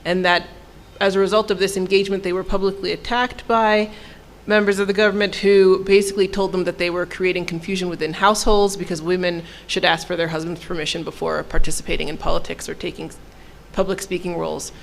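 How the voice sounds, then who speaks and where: A woman giving a live consecutive translation at a panel, summarizing in a measured way. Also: fairly low pitch, level tone, vocal fry. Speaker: interpreter.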